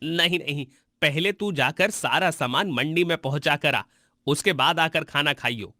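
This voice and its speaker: A man's voice: on the high side, normal speed, sharp tone, dry recording, clean studio sound. A man voicing a cruel, hot-tempered landlord who scolds his servant in a harsh, domineering way in a cartoon story. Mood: stern, bullying. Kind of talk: Hindi cartoon story voice acting.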